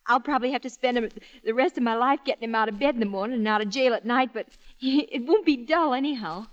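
An actress in 1940s radio style playing a brisk, wisecracking young woman of the stage on a dull vintage broadcast. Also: fairly high pitch, natural voice. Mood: brisk, joking.

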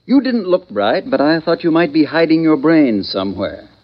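A man's voice: fairly high pitch, sing-song, normal speed. An American man playing a smug, coolly menacing schemer in a 1940s radio noir, with sardonic, condescending wisecracks. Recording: muffled vintage 1940s radio recording.

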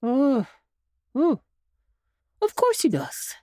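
A cheerful, clever old gentleman rabbit from a storybook, as a woman reader puts him on, raised high above her plain voice and drawn out slowly. He coaxes and jokes in a jolly, lilting tone that swings up and down, recorded close and dry. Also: wildly swooping pitch, no echo, heavily acted. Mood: kindly.

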